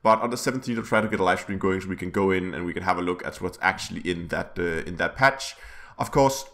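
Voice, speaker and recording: A man hosting his gaming channel's weekly news vlog, his voice a little rough, rambling with many fillers and restarts. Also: low-pitched, fast talker, a bit bright. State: slightly rough voice.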